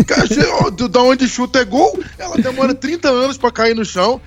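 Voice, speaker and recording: A man co-hosting a casual chat show, talking in a heated, excitable way with frequent swearing and laughter. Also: very high voice, a little fast, crowd noise. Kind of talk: casual banter about football.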